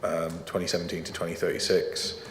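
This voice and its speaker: A man's voice: low-pitched, completely flat delivery, a little fast, roomy sound, in a large hall, slight background noise. A man working as a planning officer, explaining procedure formally and carefully, with frequent ums. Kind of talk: explaining procedure in a council meeting.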